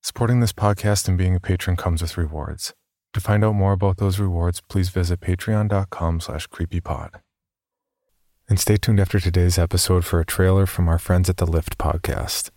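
A man's voice: sits low, fairly even intonation, normal speed, a little mellow, no room sound, studio quality. A man hosting a horror podcast and reading scripted first-person stories. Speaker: podcast host and story reader.

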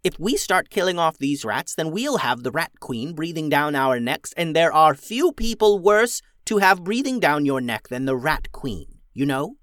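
A sly old goblin matriarch , as a male narrator puts her on, who speaks in a high, needling voice that swoops up and down. She leads her band with shrewd, mocking questions, and it sounds dry and close. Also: big pitch swings, normal speed, few pauses, fairly bright tone, no echo. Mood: scolding. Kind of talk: storybook character voice.

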